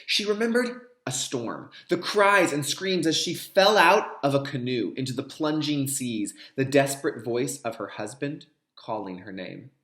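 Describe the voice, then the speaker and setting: A man with a lively, widely moving pitch speaks as a kindergarten teacher doing a read-aloud. He addresses young listeners directly and explains things in clear teaching language. The recording is roomy, with noticeable echo around the voice. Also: unhurried, few pauses. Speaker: kindergarten teacher reading a picture book aloud.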